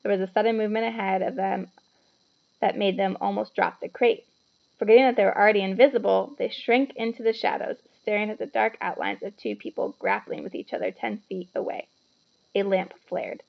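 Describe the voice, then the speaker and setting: A woman reading a story aloud to children in an animated, explanatory way, with chatty asides. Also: medium pitch, fairly quick, creaky, lo-fi sound.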